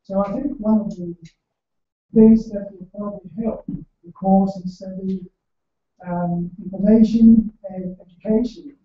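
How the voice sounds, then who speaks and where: A man's voice: high voice, steady tone. Man from the audience, hesitant and rambling, phone-thin sound, heavy room echo, far from the mic. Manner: halting, many ums and you-knows. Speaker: audience member. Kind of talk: hesitant spontaneous comment. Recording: tinny, very echoey and far off.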